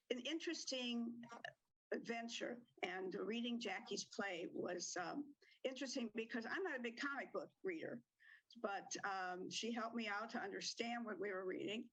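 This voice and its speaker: A woman's voice: on the high side, a little slow, fairly bright tone, rough recording. An older woman who directs community theatre, answering interview questions in a chatty way with ums and small laughs.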